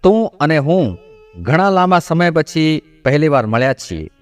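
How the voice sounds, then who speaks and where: A man's voice: on the high side, very animated, moderate pace, no echo, soft background music. A man voicing a cunning woman go-between who feigns an aunt's affection in a folk story. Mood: falsely affectionate. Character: cunning female go-between, falsely affectionate, posing as an aunt.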